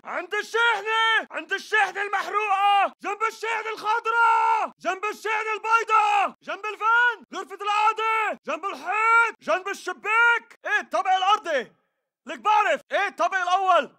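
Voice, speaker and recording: Casting: hostile militant for a shooter game, Levantine Arabic accent, very high-pitched forceful shouting, clipped battlefield orders. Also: male voice, exaggerated voice. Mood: urgent, alert, then aggressive, commanding. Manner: terse, barked battle callouts. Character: aggressive male militant fighter in combat, hostile and urgent. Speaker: game voice actor. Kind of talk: shouted video game combat callouts.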